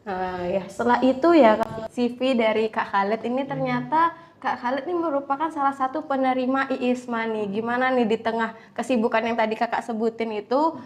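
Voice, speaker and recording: A woman's voice: a little high, steady tone, fairly quick, echoey room, slight background noise. A young woman hosting a campus podcast, asking questions and answering with frequent little listening sounds. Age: young adult. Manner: attentive, frequent listening backchannels. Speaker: podcast host. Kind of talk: casual podcast interviewing.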